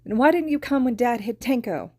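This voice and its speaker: Woman reader putting on a high, tearful little girl near crying, storybook reading, mellow tone. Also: expressive, normal speed, husky, dry recording. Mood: upset. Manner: wobbling between anger and tears. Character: tearful angry girl around seven.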